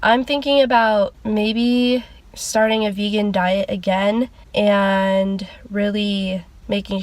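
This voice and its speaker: A woman's voice: mid-range voice, no echo. A young woman vlogger with a congested, nasal voice from a cold, chatting casually at a loose, rambling pace with lots of fillers. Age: young adult. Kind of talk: casual sit-down chat.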